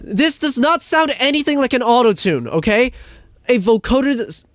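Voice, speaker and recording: A man's voice: very high-pitched, very animated, normal speed, dry recording, studio quality. A man giving a casual ranting commentary as a video host, exasperated, emphatic and sweary, often repeating himself for stress.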